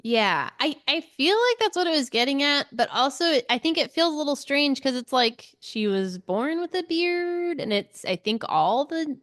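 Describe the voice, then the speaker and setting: A woman's voice: high-pitched, sing-song, normal speed, few pauses, fairly bright tone. A woman co-hosting a book podcast, chatting casually and expressively, readily slipping into quoted lines. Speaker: book club podcast co-host. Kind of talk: casual book discussion chat.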